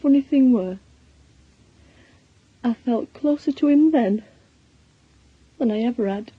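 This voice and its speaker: An actress playing a shaken, subdued woman in a radio drama, recalling things haltingly and reflectively in a Northern English accent. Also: on the high side, slightly rough, dry recording, narrow, tinny audio. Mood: subdued, tender. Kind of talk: intimate monologue in radio drama.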